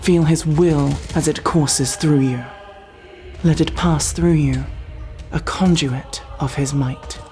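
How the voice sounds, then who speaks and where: A woman's voice: low voice, steady tone, slow, mellow, faint music behind. A woman playing a devout, zealous sci-fi battle sister in an ASMR roleplay, with solemn, preaching, archaically formal speech. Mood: fervent, rallying. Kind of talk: ASMR roleplay, dramatic monologue.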